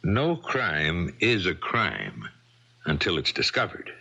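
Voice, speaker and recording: An actor in a 1970s radio drama playing a scheming bigamist husband, hard, needling and insincere. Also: male voice, low-pitched, wildly swooping pitch, slow, gravelly, sharp tone, slightly rough, heavily acted. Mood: menacing. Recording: muffled vintage radio broadcast.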